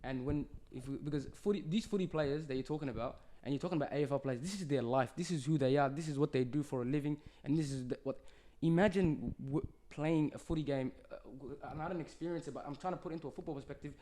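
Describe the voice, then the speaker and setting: A man's voice: a little high, fast, hardly any pauses, warm tone. A young man with an Australian accent making a persuasive case in a casual podcast chat. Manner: earnest, persuasive, builds arguments. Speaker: podcast host.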